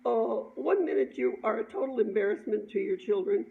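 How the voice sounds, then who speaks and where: A middle-aged woman telling an informal personal story in an animated, chuckling way, as an author sharing her own work. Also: on the high side, brisk. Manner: animated, chuckling, acts out dialogue. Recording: dull lo-fi sound, clear background noise.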